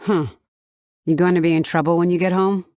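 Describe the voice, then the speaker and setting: A woman's voice: lowish voice, little pitch movement, fairly quick, a little mellow, lightly acted. Wry woman in an echoey hall acted. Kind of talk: video game character acting. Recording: echoey hall with faint noise.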